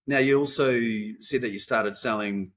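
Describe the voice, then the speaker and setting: A man's voice: medium pitch, moderate pace, a bit bright. A man hosting a podcast interview, asking questions with frequent encouraging affirmations. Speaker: podcast host.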